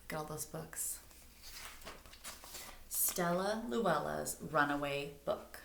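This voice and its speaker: A woman's voice: deep voice, takes it slowly, creaky, background noise. A woman reading a storybook aloud to children, stopping to put questions to them. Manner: engaging listeners with questions. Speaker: storybook reader. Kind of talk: animated read-aloud to children. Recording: echoey room.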